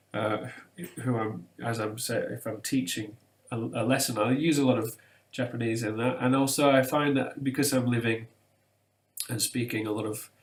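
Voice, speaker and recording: A man's voice: medium pitch, reverberant. A man, talking through ideas in a thoughtful, hesitant way with many fillers and restarts. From England. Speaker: webinar co-presenter. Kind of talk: casual academic discussion. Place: room lined with bookshelves, indoors.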